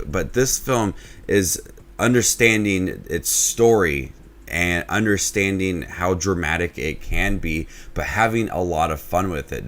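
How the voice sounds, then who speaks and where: A young man hosting his own movie review channel, talking casually in a low, flat way that he says can sound unenthusiastic.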